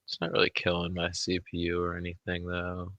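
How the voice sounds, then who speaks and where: A man's voice: low voice, monotone, brisk, a bit bright, dry recording, highs cut off. A man co-hosting a livestream in casual banter, talking bluntly with slang and swearing. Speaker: livestream co-host.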